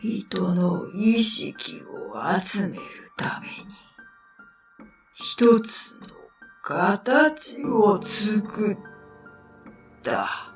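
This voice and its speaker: Woman voicing a slow, echoing, solemn ancient dragon. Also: mid-range voice, animated. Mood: solemn, grand. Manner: archaic, slow, pompous speech. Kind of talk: radio drama acting. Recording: echoing, distant voice over music. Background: music.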